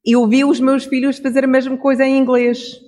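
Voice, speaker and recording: Measured devotional speaker. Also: high voice, flat delivery, darkish tone. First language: English. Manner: reflective, measured, with small self-corrections. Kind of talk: Bible devotional message.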